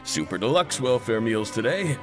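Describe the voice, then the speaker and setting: An actor playing a polite, eager cafeteria cook in a fantasy game, speaking deferentially. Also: male voice, mid-range voice, very animated, normal speed, faint music behind, natural voice. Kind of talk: scripted game voice acting.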